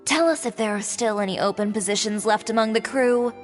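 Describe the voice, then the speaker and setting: A woman as brisk, confident, high-voiced businesswoman. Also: natural voice. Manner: brisk, decisive. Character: brisk, confident, take-charge young businesswoman, encouraging. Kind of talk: video game voice acting. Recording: reverberant hall, faint music underneath.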